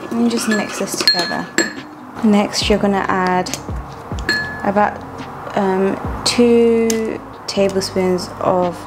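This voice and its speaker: Woman, cooking vlog voice-over, chatty and upbeat, mid pitch, music under her voice. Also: poor audio. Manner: chatty, upbeat, talks viewers through steps. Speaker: cooking vlogger. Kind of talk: casual recipe voice-over. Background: background music.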